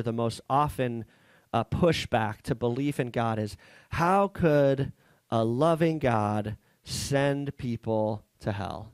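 A man's voice: mid-range voice, clear, resonant tone, no echo. Guy in his 30s preaching in a little coffee shop church, earnest, keeps restarting his sentences, headset mic, dry sound. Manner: earnest, halting delivery with frequent restarts. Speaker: pastor. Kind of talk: sermon. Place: small church hall set up as a coffee shop.

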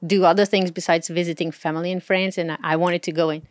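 A woman in her thirties with a Portuguese accent talks casually as an interview guest. She speaks softly and pauses often, with frequent small laughs. The sound is close and dry, with no room around it. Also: lowish voice, level tone, dry recording. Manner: soft-spoken, hesitant, laughs often. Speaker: guest, travel blogger.

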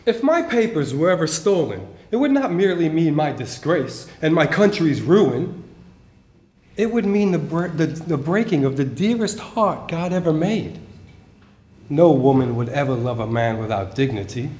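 Man acting a high-pitched gallant officer, animated. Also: moderate pace, lightly acted. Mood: earnest, proud. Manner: clipped, old-fashioned genteel phrasing. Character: gallant, dutiful male officer and royal messenger, charmed but proud. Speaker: stage actor. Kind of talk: live theatrical stage acting. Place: theater stage, indoors. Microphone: distant camera. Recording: echoey theater stage, faint hiss.

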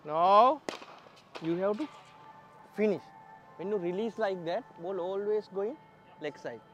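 A man's voice: sits high, unhurried, fairly bright tone, soft background music. A Sri Lankan man coaching bowlers at outdoor nets, giving short, direct instructions in broken English and laughing often. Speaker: bowling coach. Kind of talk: coaching at net practice. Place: outdoor cricket nets at a training ground. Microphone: clip-on mic.

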